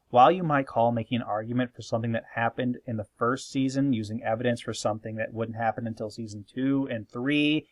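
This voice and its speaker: A man's voice: mid-range voice, dry recording. A man hosting a scripted opinion commentary, speaking in an emphatic, conversational and playfully sarcastic way. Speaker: host of a fan opinion video.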